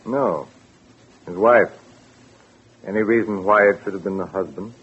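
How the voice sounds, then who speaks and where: Old radio homicide cop, lowish slightly husky voice, dry and official. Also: male voice, unhurried, fairly bright tone. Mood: dry, businesslike. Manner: terse, probing, official. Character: dry, businesslike male homicide police lieutenant. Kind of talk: 1940s radio drama acting. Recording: old radio recording, narrow band.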